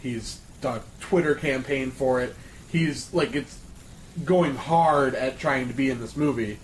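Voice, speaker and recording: A man's voice: medium pitch, a little slow, a little hiss or hum, rough recording. A man chatting casually at a round table, exasperated and swearing freely. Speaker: panelist on a friends' discussion show. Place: room with a group of friends.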